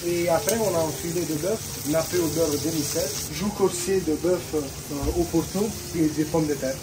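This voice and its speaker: A man, a chef, describing his dishes hesitantly with many pauses and fillers. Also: fairly high pitch, background noise. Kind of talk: explaining dishes.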